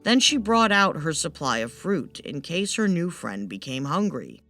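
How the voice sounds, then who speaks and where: A woman's voice: sits low, very animated, a little slow, fairly bright tone, soft background music. A woman narrating a scripted fairytale as a storyteller.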